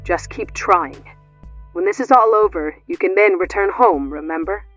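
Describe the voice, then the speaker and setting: A woman's voice: on the low side, normal speed, a bit bright, dry recording. A woman playing a firm, coaxing female facility official heard through a speaker in an audiobook. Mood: coaxing, insistent. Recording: dull, lo-fi speaker sound with music.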